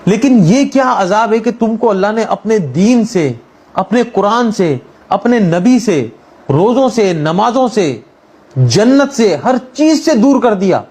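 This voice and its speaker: A man's voice: sits high, darkish tone, husky. A man telling a religious moral story in an informal, conversational way, mixing in everyday English words. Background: background music.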